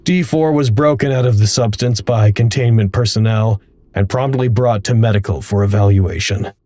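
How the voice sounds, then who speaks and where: An actor playing a detached research log narrator, reading formal reports in a precise, impersonal way for an audio drama. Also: male voice, fairly low pitch, moderate pace, warm tone, no room sound, clean studio sound, close to an everyday voice. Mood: flat clinical.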